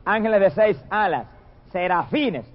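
A man preaching a fervent sermon, emphatic and repetitive, with drawn-out exclamations of praise. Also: sits high, animated. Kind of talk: fervent Pentecostal-style sermon. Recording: dull lo-fi sound.

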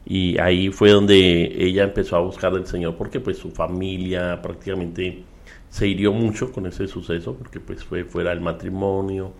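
A middle-aged man with a Colombian Spanish accent speaks in a very low, deep register. He tells his testimony at a measured pace, pausing with fillers, as a guest in an interview. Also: fairly even intonation, vocal fry. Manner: measured storytelling with frequent fillers. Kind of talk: relaxed testimony in conversation.

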